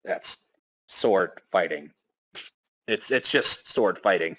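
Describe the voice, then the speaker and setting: A man's voice: low-pitched, lively intonation, slow pace, fairly bright tone, husky, no room sound, rough recording. A man hosting and narrating a comic dramatic reading of a game story. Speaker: podcast host and narrator.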